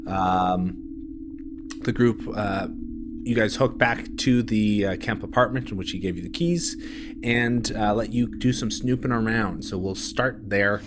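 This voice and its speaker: A man casually narrating a tabletop game as game master, speaking in a halting, conversational way. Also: fairly low pitch, noisy background.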